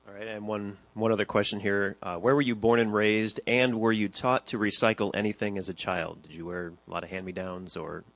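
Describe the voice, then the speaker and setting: A man's voice: on the low side, level tone, a little fast, few pauses, a bit bright, no echo, dull audio. A man acting as moderator, plainly reading audience questions to a speaker.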